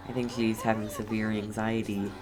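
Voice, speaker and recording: A woman's voice: a bass voice, little pitch movement, moderate pace, poor audio. A woman game streamer giving casual, reactive commentary as she plays.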